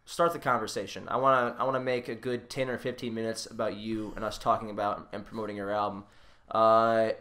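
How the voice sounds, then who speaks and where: A man's voice: lowish voice, level tone, fairly quick, hardly any pauses, a bit bright. A man hosting a casual podcast, rambling and repeating words as he works his way toward questions. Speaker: podcast host. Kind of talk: casual, unscripted interview chat.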